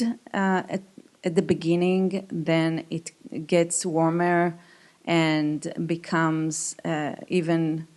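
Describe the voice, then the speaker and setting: A woman from Israel with an Israeli accent, answering questions on a panel in a halting, thoughtful way. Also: sits low, darkish tone. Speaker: composer, panelist. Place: live event venue with audience.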